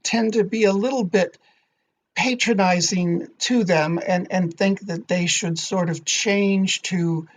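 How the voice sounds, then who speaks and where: A man who is a guest author on a podcast, explaining ideas in a measured, thoughtful way. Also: high voice, fairly even intonation. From not Thai. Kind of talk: podcast interview.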